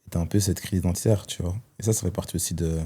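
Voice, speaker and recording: Deep-voiced man, reflective. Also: fairly even intonation, racing, dark tone, breathy edge. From Belgium. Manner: reflective, hesitant with many fillers. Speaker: guest. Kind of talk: personal testimony in conversation.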